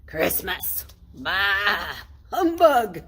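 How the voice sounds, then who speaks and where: A woman voicing a grouchy, stingy old male goat in a storybook, with gruff, snapping, dismissive delivery. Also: medium pitch, big pitch swings, very slow, fairly bright tone, heavily acted. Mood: grouchy, scornful. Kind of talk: storybook character voice.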